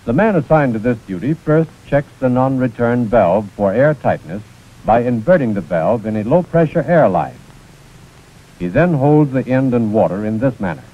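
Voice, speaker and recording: A man's voice: medium pitch, animated, moderate pace, dark tone. Muffled 1940s American training-film man. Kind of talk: 1940s instructional film narration, scripted.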